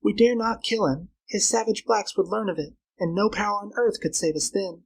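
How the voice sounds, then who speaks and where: A male narrator plays a young woman in an adventure storybook. The voice is lifted high and husky. She is frightened yet scheming, pleading her case with urgency. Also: moderate pace, exaggerated voice, poor audio. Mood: urgent scheming.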